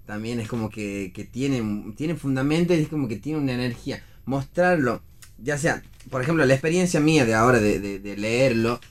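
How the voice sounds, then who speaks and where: An Argentine man, a stage actor, talking earnestly and haltingly in a casual radio discussion about theater, repeating words as he searches. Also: medium pitch.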